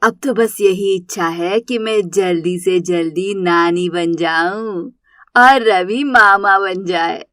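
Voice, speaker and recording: A woman voicing an affectionate, homely older mother in an animated moral story. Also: fairly low pitch, slow, no echo, clean studio sound, pitched below the performer's own voice. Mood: fond, wistful. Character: doting older mother and mother-in-law, tender, homely.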